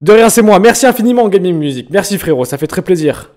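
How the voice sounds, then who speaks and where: A young man from France chatting casually on a live stream, joking and teasing viewers in slangy talk with sudden loud bursts. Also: high voice, animated, fast talker. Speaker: streamer reacting to viewers' music.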